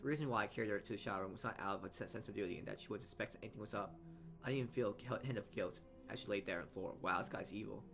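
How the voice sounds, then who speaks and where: Male let's player, low register, reads story text aloud, trips and restarts, game music underneath. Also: rapid-fire, gravelly. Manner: stumbles and corrects himself while reading. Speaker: let's player reading a visual novel aloud. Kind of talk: reading game narration aloud with asides.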